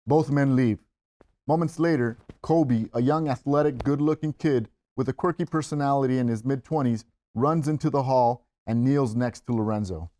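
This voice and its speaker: A man's voice: medium pitch, normal speed, dark tone. Man reading screenplay stage directions. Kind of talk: scripted narration at a table reading.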